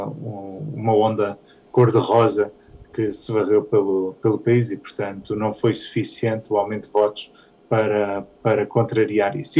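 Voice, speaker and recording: A man's voice: mid-range voice, dark tone, poor audio. Male politician from Canada, mid-range voice, analytical post-election commentary with frequent hesitations. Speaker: politician interviewee.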